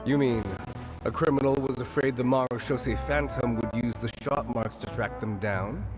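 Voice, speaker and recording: A shrewd underworld informant played by a man with an average-pitched male voice. He talks in a dry, knowing, wry way, as in a video game story scene, over background music. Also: fairly even intonation, moderate pace, lightly acted. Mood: speculating.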